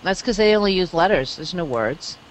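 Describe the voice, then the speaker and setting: A woman's voice: lowish voice, animated, moderate pace, a little hiss or hum, rough recording. A middle-aged woman chatting casually during an online webinar. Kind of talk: casual chat.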